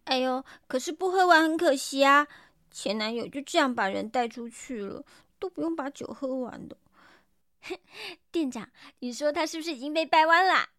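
A woman playing an excitable young woman in a radio drama, with a cutesy, whiny, exclamatory manner. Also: high voice, normal speed, no echo, studio quality. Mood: tipsy. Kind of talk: Mandarin radio drama acting.

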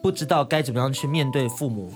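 A man's voice: mid-range voice, quick pace, soft background music. A man hosting a radio interview in a conversational style, asking questions and linking segments.

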